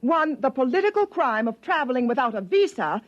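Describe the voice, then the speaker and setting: Indignant, opinionated older sister of twenty: higher register, crisp formality, 1950s radio drama style. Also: female voice, very animated, fairly quick, muffled recording. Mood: haughty. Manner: formal, haughty, lecturing. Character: bossy, prim, indignant woman of twenty, strict older sister.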